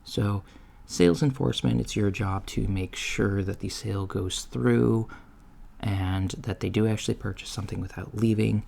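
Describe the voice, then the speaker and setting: A man acts out an apologetic, inexperienced male alien interviewer in an ASMR roleplay. He speaks softly and haltingly in a lowish, gravelly, mellow voice. The lo-fi recording has faint noise and keyboard typing. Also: fairly even intonation. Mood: matter-of-fact, apologetic. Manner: hesitant, halting, mumbling asides. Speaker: job interviewer. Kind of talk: soft-spoken ASMR roleplay. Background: computer keyboard typing.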